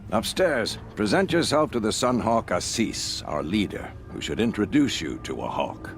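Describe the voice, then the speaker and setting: An actor playing a courteous veteran hunter in a video game who greets newcomers in a formal, explanatory manner. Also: male voice, on the low side, big pitch swings, moderate pace, music in the background. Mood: politely explaining.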